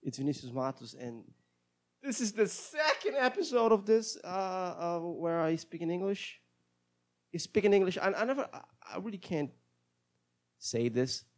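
A Brazilian man with Portuguese as his first language, hosting a casual solo show in accented English, rambling in a halting, self-deprecating way and often repeating words. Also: high voice, very animated.

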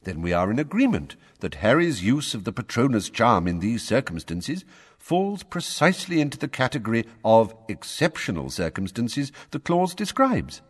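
A man voicing an old wizard who speaks mildly, politely and in measured sentences. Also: mid-range voice, sing-song, breathy edge, in a large hall, pitched above the performer's own voice. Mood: calm, courteous. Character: calm, courteous old male wizard, quietly firm.

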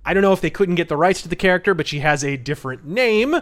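Higher-voiced man hosting a podcast. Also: lively intonation, quick pace, fairly bright tone. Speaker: podcast host. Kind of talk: film review and history commentary.

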